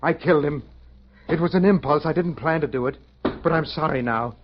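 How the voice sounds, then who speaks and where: A man's voice: a little high, brisk, noisy background, lightly acted. An actor playing a guilt-ridden, pleading man who believes himself a dead soul, in melodramatic old radio style. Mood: anguished. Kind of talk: 1950s radio drama acting, narrating and in dialogue. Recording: muffled vintage radio recording, music behind.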